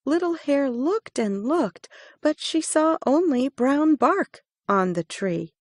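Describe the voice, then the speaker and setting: A woman reading a children's picture book aloud, slow and expressive. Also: high voice, no room sound, studio quality. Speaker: reader.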